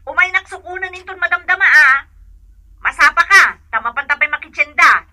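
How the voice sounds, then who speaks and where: A woman's voice: sits high, lively intonation, fairly quick, bright, forceful tone, dry recording, exaggerated voice. A woman acting in a comedic radio drama as a bossy, impatient schoolteacher wife, nagging and scolding.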